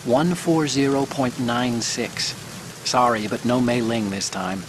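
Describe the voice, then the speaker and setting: An actor playing a young, fussy male computer hacker who talks quickly and a bit nervously while guiding a soldier. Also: mid-range voice, noisy background, natural voice. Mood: instructing. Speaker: mission support. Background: music and rain.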